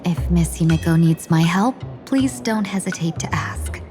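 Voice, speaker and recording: A woman's voice: on the low side, lively intonation, moderate pace, music in the background, close to an everyday voice. An actress playing a humble, devoted, courteous woman warrior in a video game, speaking formally and with deference. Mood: devoted, polite. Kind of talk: video game character dialogue.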